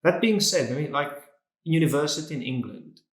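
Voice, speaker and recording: A man's voice: a little high, expressive, brisk, roomy sound. A man hosting an educational interview, chatty and opinionated, often opening his questions with "so". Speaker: interview host, English teacher.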